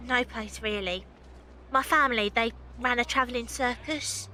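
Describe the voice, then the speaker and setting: An actress playing a guarded young circus runaway in a historical audio drama, speaking in a hesitant, understated way. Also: female voice, a little high, moderate pace, pushed and bright, slight background noise, low-quality recording. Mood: wary, uncertain. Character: guarded young runaway circus woman, newly homeless in London.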